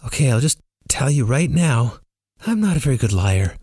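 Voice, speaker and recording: A man's voice: medium pitch, big pitch swings, normal speed, darkish tone, slightly rough, dry recording, studio quality, voice pushed up. A man voicing a nervous, jittery young woman in audiobook dialogue, flustered and hesitant as she talks. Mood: panicked, flustered. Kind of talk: first-person audiobook dialogue.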